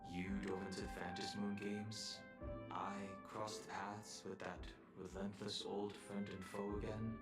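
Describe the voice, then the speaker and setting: An actor playing a serious, reserved young male guardian in a video game, speaking in a measured, earnest way. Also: on the low side, little pitch movement, roomy sound, loud music behind the voice, close to an everyday voice. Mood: reflective.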